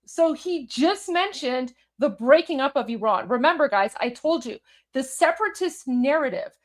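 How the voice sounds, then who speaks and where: A woman with a Toronto accent hosting a live show, commenting on a clip in an animated way, repeating her points with many fillers. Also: sits high, moderate pace. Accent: Canadian, Toronto. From Canada. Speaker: host.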